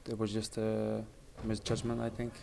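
A man in his thirties, a soccer team captain, answering reporters after a match in a halting, even-toned way that repeats words, with mics held close. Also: on the low side, creaky. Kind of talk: post-match press interview. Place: indoor press area in front of a sponsor backdrop. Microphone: reporters' handheld mics and phones held close. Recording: noisy crowded press area. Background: crowd chatter.

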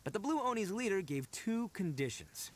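An actor voicing a brash, proud, earnest young oni gang boss in an anime game, telling things colloquially with dramatic emphasis. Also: male voice, high voice, sing-song, moderate pace. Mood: storytelling, earnest. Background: game music.

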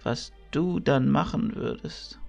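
A man playing a kindly, teasing old mentor priest in a fantasy audio drama, gravelly and fatherly. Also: medium pitch, slow pace, darkish tone, dull audio, soft background music, heavily acted. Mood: knowing, gentle. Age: older.

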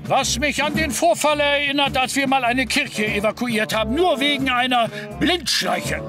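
An actor playing an older fire chief in a cartoon, fussy, self-assured and given to pompous rambling. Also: male voice, very high-pitched, moderate pace, music in the background. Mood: self-important. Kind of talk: animated cartoon dubbing.

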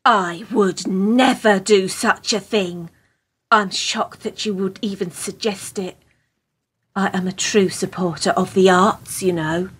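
A woman's voice: medium pitch, steady tone, slow, fairly bright tone, breathy edge. A British woman playing an earnest, starstruck theatre fan in a radio play, prim and gushing.

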